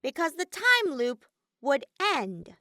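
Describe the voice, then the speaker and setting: A woman's voice: sits high, big pitch swings, slow pace, bright, forceful tone, no room sound, studio quality, pitched above the performer's own voice, exaggerated voice. A woman voicing a mischievous, flippant robotic bear villain in a dramatic audiobook reading, theatrical, grumbling and cartoonish. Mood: matter-of-fact. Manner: matter-of-fact, theatrical, grumbling.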